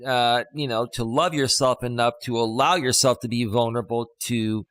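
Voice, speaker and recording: Man with a deep voice, very dry sound. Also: fairly even intonation, normal speed, fairly bright tone, no echo. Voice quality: deep bass voice. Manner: playful, reads passages aloud and riffs on them. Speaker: life coach and host. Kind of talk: casual live-stream chat reading a text. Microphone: studio dynamic microphone.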